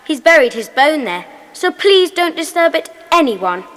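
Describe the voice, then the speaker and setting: A woman's voice: sits high, very animated, moderate pace, fairly bright tone. A woman playing an eager, helpful young schoolgirl in a children's audio drama, keen and polite. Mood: keen, tidy. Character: eager, tidy young schoolgirl in a secret club. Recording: long hall-like reverb on voice.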